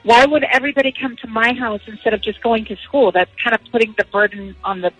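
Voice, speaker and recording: A woman's voice: fairly high pitch, steady tone, quick pace, fairly bright tone, husky, no room sound, soft background music. A woman in her thirties chatting casually as a parent on a phone call, with a questioning manner. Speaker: parent receiving a prank call.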